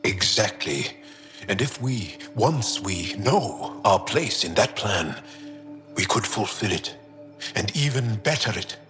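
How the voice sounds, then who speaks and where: Deep, reflective alien philosopher. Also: male voice, animated, fairly bright tone. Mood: awed, hopeful. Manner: measured, reflective, deliberate pauses. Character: calm, awestruck male alien philosopher, thoughtful and hopeful. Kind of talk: video game dialogue acting. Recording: music under the voice.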